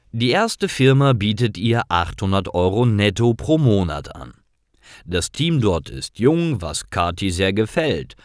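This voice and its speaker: Guy narrating easy stories for language learners, lowish voice, dry sound, no room. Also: dry recording.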